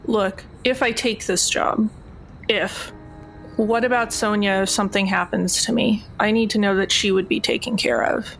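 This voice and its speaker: A woman's voice: mid-range voice, steady tone, moderate pace, creaky, a bit bright, soft background music, poor audio. A woman playing a wary, blunt and sardonic recruit in a tabletop roleplaying game.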